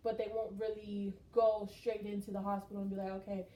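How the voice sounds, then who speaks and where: Young woman from Texas, mellow voice. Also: medium pitch, level tone, a little fast. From Texas, United States. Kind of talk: conversational class presentation. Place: skills lab room. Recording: roomy echo, faint noise.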